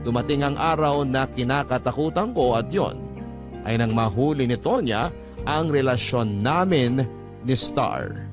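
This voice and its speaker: A man's voice: medium pitch, lively intonation, measured pace, lightly acted. Man narrating as confessional young lover over music. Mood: confessional, reflective. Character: remorseful young man confessing a double love life. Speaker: letter-sender narrator. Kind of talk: scripted first-person radio drama narration.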